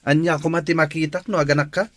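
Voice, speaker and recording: An actor playing a callous, dismissive young boyfriend in a radio drama, speaking brusquely with a Filipino accent. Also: male voice, a little high, steady tone, quick pace, fairly bright tone, no room sound, close to an everyday voice. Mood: scornful.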